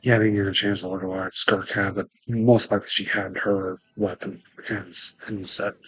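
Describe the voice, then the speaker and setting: A man's voice: low voice, little pitch movement, moderate pace, fairly bright tone, husky, no echo, soft background music, low-quality recording. A man commenting casually as he plays through a video game story. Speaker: gamer playing a story quest.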